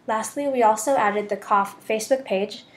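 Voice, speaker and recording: A woman's voice: fairly high pitch, moderate pace. A young woman with an American accent narrating a scripted class presentation as a student voice-over. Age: young adult.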